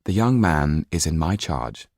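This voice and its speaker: A well-bred gentleman banker acted by a male audiobook reader, kindly and direct. His low voice is measured, courteous and authoritative, recorded dry and close. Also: very animated, vocal fry, dry recording, full character voice. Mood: polite, firm.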